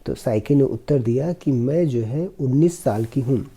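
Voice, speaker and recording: A man teaching an exam-prep English lesson, reading each English line slowly and then explaining it in Hindi. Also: mid-range voice, warm tone, breathy edge.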